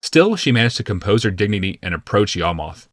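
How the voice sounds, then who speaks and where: A man narrating a fantasy audiobook from a script in a measured, deliberate and dramatic manner. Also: on the low side, very animated, no echo, clean studio sound.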